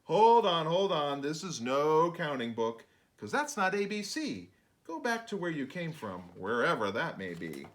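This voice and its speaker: A man's voice: a little high, very animated, a little slow, a bit bright. A man playing an exasperated storybook author scolding his unruly creatures, indignant and blustering.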